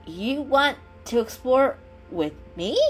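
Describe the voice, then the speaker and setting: A cheeky, confident young male house cat, voiced by a woman, talks in a breezy, casual storybook style. The voice is highish and very animated, with faint music underneath. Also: slow pace. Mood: surprised. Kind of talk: dramatic children's story reading.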